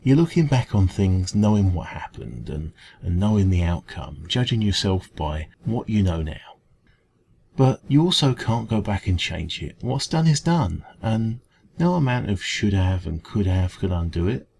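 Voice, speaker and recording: A man with an English accent playing a kindly, gentle café dragon in an ASMR comfort roleplay, speaking in a hesitant, informal, reassuring way. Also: low voice, very animated, unhurried, gravelly, a little hiss or hum, heavily acted. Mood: sympathetic, reflective.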